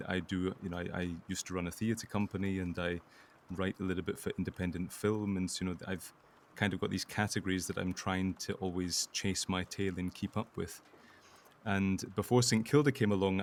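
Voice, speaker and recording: A man chatting in a casual panel interview, self-effacing, with halting, repeated starts. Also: low voice, slight background noise. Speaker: voice actor.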